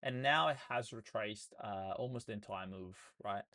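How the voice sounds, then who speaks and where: A man with an Australian accent, hoarse and losing his voice, walking viewers through trades in a loose live session full of fillers and restarts. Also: lowish voice, normal speed, pushed and bright. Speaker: trading educator. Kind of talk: live trading session walkthrough.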